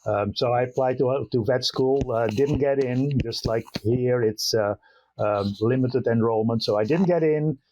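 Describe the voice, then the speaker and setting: An older man from the Netherlands with a Dutch accent, a professor answering interview questions in an unhurried way with many fillers and restarts. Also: mid-range voice, darkish tone, no room sound, poor audio. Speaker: guest professor of animal science.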